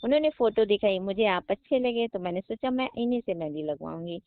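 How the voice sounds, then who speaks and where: A woman's voice: mid-range voice, animated, a little fast, no room sound, close to an everyday voice. A woman playing a flirty, coaxing customer phoning a henna artist, talking casually and persuasively. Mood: chatty, persuasive. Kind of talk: casual phone-call role-play. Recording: dull, lo-fi phone-call audio.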